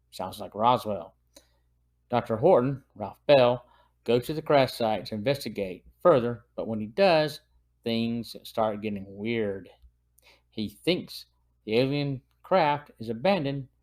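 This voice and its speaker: A man's voice: mid-range voice, a little mellow. A man hosting a podcast, reading a semi-scripted review in a plain, earnest, informative manner. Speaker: podcast host.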